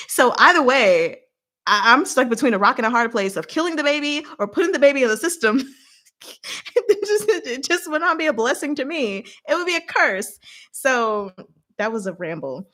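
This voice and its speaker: A woman with an average-pitched voice talks as a livestream host. Her delivery is casual and rambling, with an animated, candid manner. She slips easily into acting out imagined exchanges as she talks to her viewers. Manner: animated and candid, acts out imagined dialogue, laughs often. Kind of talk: casual rambling livestream chat, reading and answering viewer comments.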